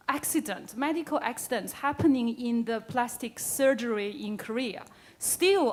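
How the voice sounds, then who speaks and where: A woman's voice: fairly high pitch, darkish tone. A woman on a conference panel, putting a question to another panelist in non-native English and laughing often. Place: conference room.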